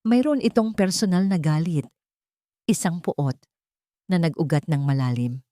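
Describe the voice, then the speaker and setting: A woman with a slightly low voice narrates a dramatic audio story. The delivery is scripted storytelling, and the sound is dry and close. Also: measured pace, mellow, no room sound.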